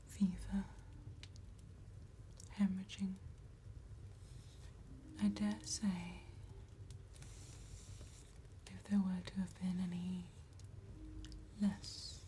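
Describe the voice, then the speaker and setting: A woman voicing a courteous, sly female vampire tattooist in a gothic ASMR roleplay, soft and breathy, with formal phrasing and veiled menace. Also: medium pitch, a little hiss or hum, natural voice. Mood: soothing, formal. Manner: slow, formal, old-fashioned politeness with veiled menace.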